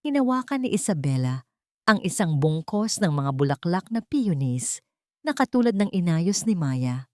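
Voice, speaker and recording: A woman with a Filipino accent narrates a sentimental drama in a fairly low voice. Her delivery is measured and emotive, a scripted storytelling read. The sound is very dry, with no room around it. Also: sing-song, warm tone, dry recording.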